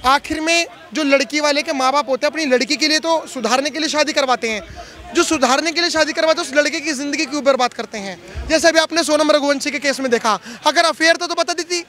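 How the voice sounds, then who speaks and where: A man's voice: very high voice, fast, pushed and bright. A man working as a street interviewer, putting emphatic questions on social issues to passers-by and repeating his phrases.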